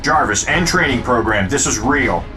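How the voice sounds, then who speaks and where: An actor playing a cocky, wisecracking billionaire inventor in a video game cutscene, flippant and sardonic. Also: male voice, medium pitch, animated, moderate pace, over music, close to an everyday voice. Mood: urgent.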